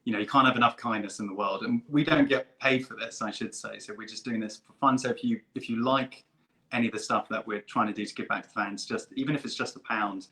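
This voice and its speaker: Bright, forceful man chatting enthusiastically. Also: fairly low pitch, quick pace, rough recording. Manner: enthusiastic, emphatic. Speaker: guest performer at an online fan convention. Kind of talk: casual chat.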